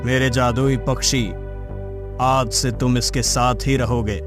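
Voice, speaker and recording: Casting: male actor as wandering miracle-working sage, medium pitch, slow preachy authority, music underneath, children's cartoon. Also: level tone, lightly acted. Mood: wise, reassuring. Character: mysterious wandering male holy man, miracle-working, stern, assured. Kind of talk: children's cartoon story dialogue.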